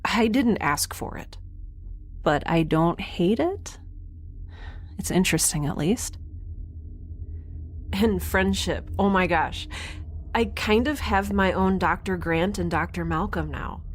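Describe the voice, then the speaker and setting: A woman's voice: on the low side, takes it slowly, pauses often, slight background noise. A woman playing a young scientist keeping an informal, musing audio diary in an audio drama. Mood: musing, fond.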